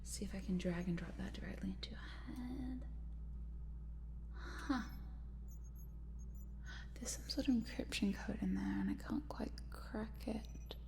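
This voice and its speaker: A woman acts out a quirky female lab scientist in an ASMR roleplay. She whispers softly and takes her time, muttering hesitantly to herself like someone who is often alone at work. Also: mid-range voice, unhurried, creaky, pauses often. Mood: intrigued, uneasy.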